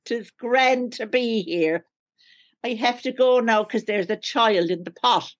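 A woman's voice: fairly high pitch, unhurried, voice pushed up, lightly acted. Woman putting on a wheedling old hag. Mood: sweetly sinister. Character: wheedling old hag, sweetly sinister, child-cooking witch.

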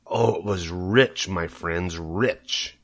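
A man voicing a gloating, greedy male rat with a husky, slow drawl. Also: low-pitched, a bit bright, exaggerated voice.

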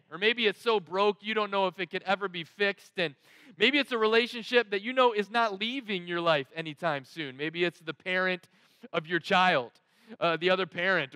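A male pastor delivers a conversational sermon in a high, bright and forceful voice. He leans emphatically on key words and sets them apart with deliberate pauses. The sound is close and dry, from a headset microphone. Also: no room sound. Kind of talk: sermon to an online congregation. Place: large dark auditorium stage, indoors.

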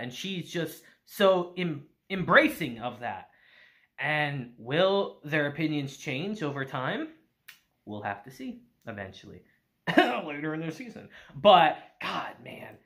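A man in his thirties reviewing anime on camera in a small room, animated and gushing, laughing often. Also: on the high side. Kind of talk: excited casual review.

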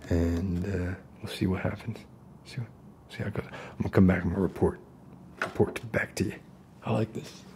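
A man in his thirties doing an ASMR roleplay as an unstable, friendly loner, soft-spoken and rambling in halting mutters. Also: low-pitched, steady tone, a little hiss or hum. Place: basement-like room with concrete walls.

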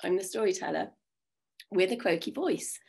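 A woman in her fifties with a croaky voice from a cold, telling folk stories in a chatty way on a video call from home. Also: medium pitch, normal speed. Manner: warm, chatty, with many fillers. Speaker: storyteller. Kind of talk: oral storytelling. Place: home room. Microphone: video call webcam.

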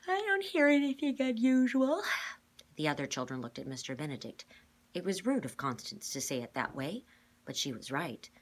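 A middle-aged woman reads a children's novel aloud in a low, husky voice. She narrates like a teacher reading to a class. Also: lively intonation, fairly quick. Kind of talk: read-aloud storybook narration. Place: indoor room, classroom.

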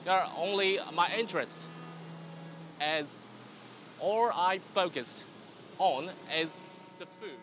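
Slow, hesitant man from China. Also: high voice, a bit bright, slight background noise. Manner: slow, searching for words with many ums. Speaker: tourist, interviewee. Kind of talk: casual street interview. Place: outdoors.

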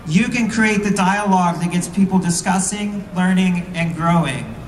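A man delivering a prepared rally speech in an earnest, deliberate way. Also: high voice. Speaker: rally speaker. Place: outdoor rally, recorded from within the crowd. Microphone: PA heard from far camera. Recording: distant, echoey outdoor PA with noise.

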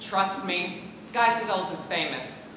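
A woman playing a harried high school musical director in a stage comedy, insistently keeping order. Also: expressive, a little slow, a bit bright. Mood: exasperated, reassuring. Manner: insistent, trying to keep order. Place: large theater stage, filmed from the audience. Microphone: far camera. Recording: echoey auditorium, faint noise.

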